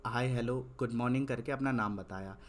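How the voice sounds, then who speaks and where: A young man giving an English lesson in Hindi. He asks questions and answers them himself, repeating points. When writing he slows to dictate one word at a time. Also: medium pitch. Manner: repetitive, asks and answers his own questions. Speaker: English tutor.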